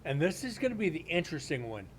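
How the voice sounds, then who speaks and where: A man's voice: on the high side, sing-song, quick pace, slight background noise. A man reviewing paranormal evidence on a livestream, chatting casually in a blunt, joking way.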